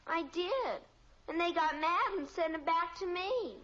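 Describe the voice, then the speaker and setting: Earnest little girl, very high, bright. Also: animated, a little slow, heavily acted. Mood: innocent, matter-of-fact. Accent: American. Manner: innocent, plaintive. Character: earnest, guileless little daughter with excuses. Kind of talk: mid-century American radio sitcom acting. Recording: dull, noisy old radio recording.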